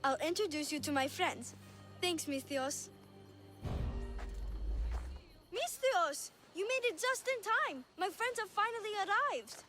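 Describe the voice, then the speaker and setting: Small child, high-pitched, playful, innocent, lonely, video game cutscene, light music. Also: female voice, expressive, moderate pace, pushed and bright, full character voice. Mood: cheerful. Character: innocent, lonely little girl, earnest and playful.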